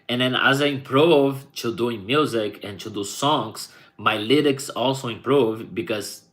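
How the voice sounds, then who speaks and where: A man's voice: mid-range voice, pushed and bright. A man from Brazil with a Brazilian Portuguese accent, a rapper talking casually to his viewers and often adding "right?". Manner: rambling, frequent "right?" tags. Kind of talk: casual vlog chat.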